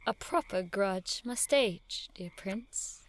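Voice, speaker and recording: A woman's voice: medium pitch, expressive, slow pace. A woman acting in an audio drama as a witty young noblewoman knight with an arch, teasing, theatrical manner.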